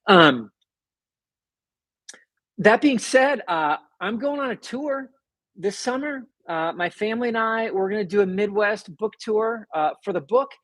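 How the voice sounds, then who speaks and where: A man's voice: high-pitched, expressive, measured pace, a bit bright, no room sound. A man hosting a casual livestream, rambling conversationally and answering comments in a soothing, self-deprecating way. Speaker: livestream host, author.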